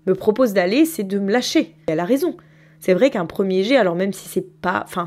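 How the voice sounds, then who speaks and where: A woman's voice: medium pitch, a little mellow. A woman in her thirties, a novelist recording a spontaneous spoken diary, reflective and thinking aloud as she talks about her writing. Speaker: novelist keeping an audio diary.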